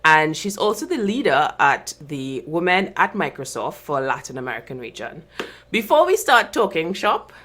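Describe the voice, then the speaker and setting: Woman host, low voice with a bright, forceful edge, chatty and upbeat, on a video call. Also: sing-song, moderate pace. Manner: upbeat, chatty, laughs easily. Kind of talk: live online interview show.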